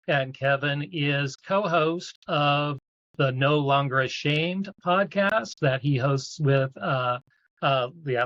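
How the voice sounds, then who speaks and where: A man's voice: fairly high pitch, bright, forceful tone, no room sound, lo-fi sound. A man hosting a live online event and introducing guests as a support group coach.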